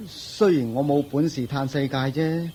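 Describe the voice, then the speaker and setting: An actor playing a downcast, penniless nephew in a Hong Kong Cantonese radio drama, meek and pleading with sighs. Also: male voice, mid-range voice, measured pace, warm tone, a little hiss or hum, close to an everyday voice. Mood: dejected. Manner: sighing, plaintive, meek.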